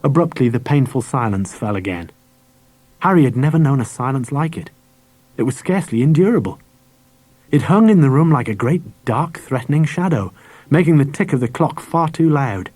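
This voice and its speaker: A man's voice: medium pitch, lively intonation, moderate pace, a little mellow, no echo, low-quality recording. A man reading a children's story aloud as a scripted audiobook narration. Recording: dry cassette audiobook transfer.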